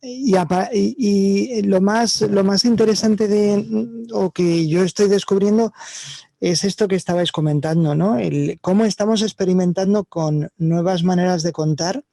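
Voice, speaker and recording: A man with a Spanish accent from Spain speaks on an online panel, reflecting on his project in a halting way. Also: sits high, vocal fry, warm tone, no room sound. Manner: halting, reflective, many restarts. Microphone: video call.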